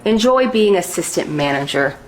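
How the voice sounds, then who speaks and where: Here is a wounded salon coworker, as a woman acts her out who speaks coldly and cuts deep. Her pitch rises sharply when she accuses and drops low for the final blow. She sounds fed up. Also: lively intonation, moderate pace, slight background noise, natural voice, poor audio. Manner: cold and cutting. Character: hurt, cutting female salon coworker quitting.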